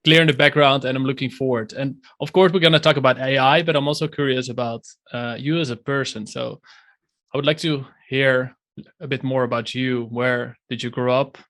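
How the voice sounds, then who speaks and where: A man's voice: medium pitch, steady tone, fairly quick, fairly bright tone. A man hosting a podcast, reading the intro and asking questions in a friendly, upbeat way. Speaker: podcast host.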